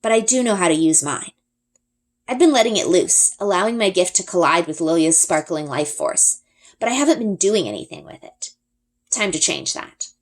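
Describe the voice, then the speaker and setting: A woman narrates a story in an expressive read-aloud style. Her voice is slightly low for a woman, with very lively, varied intonation. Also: moderate pace. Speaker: reader.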